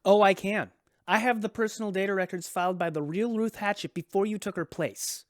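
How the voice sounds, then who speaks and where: Actor as an officious, high-voiced suspicious activist man. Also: brisk, no room sound, clean studio sound, close to an everyday voice. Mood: accusing. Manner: self-important, emphatic. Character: officious, suspicious male passenger activist, self-appointed investigator. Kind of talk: sci-fi radio drama acting.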